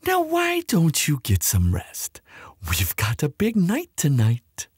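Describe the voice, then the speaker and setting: A woman playing a smug, cruel mercenary kidnapper in an audio roleplay, mocking and taunting in a breathy, husky voice. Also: low-pitched, huge pitch swings, slow, a little mellow, no room sound, studio quality, full character voice. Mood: smug threat. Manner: mocking, drawling.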